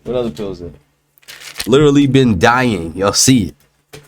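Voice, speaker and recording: A young man streaming his reaction to a video in slangy, casual talk, his voice still hoarse from a sore throat. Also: mid-range voice, lively intonation, normal speed. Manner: slangy, loose, talks straight to viewers. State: hoarse, voice recovering from strep throat.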